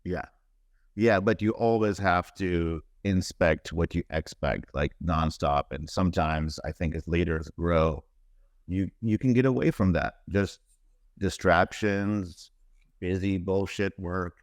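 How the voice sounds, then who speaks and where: Chill podcast host guy, really low voice, dry sound, slangy and swears a bit. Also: slow, no echo. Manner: laid-back, slangy, swears casually. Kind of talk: casual interview.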